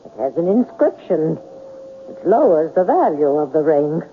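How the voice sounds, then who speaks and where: An actress in a 1970s radio drama playing a blunt but kindly shopkeeper with a husky voice and an insistent, questioning manner. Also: female voice, low-pitched, big pitch swings, normal speed, a little hiss or hum. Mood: blunt, urging. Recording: tinny 1970s radio broadcast.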